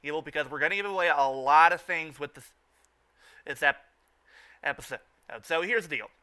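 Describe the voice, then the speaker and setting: Male fan-show host: higher-pitched, sharp bright tone, stumbling repetitive delivery, informal recap style. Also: clear, resonant tone. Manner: halting, repeats and restarts phrases.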